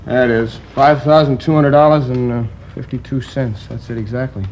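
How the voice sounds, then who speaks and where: An actor playing a talkative, indignant liquor store manager recounting a robbery in a 1950s radio crime drama, rambling with hesitations. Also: male voice, medium pitch, fairly even intonation, normal speed, darkish tone, slight background noise, natural voice. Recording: dull old radio transcription.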